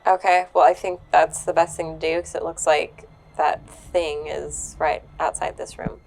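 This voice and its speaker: A woman's voice: low-pitched, level tone, normal speed, gravelly, darkish tone, faint music behind, poor audio. A woman playing a tabletop role-playing game, chatting casually and partly in character.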